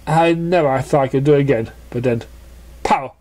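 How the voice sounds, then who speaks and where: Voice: mid-range voice, normal speed, a little mellow, close to an everyday voice. A reader voicing a brash, cocky young female pegasus who exclaims and boasts. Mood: proudly bashful.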